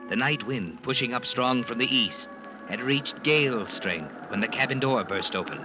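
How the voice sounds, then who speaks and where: An actor playing a sinister, all-knowing narrator in a theatrical 1940s radio mystery style. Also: male voice, medium pitch, expressive, normal speed, over loud music, close to an everyday voice. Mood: grave storytelling. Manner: ominous, theatrical. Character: the Whistler, sinister all-knowing male narrator of dark tales. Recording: dull old radio recording.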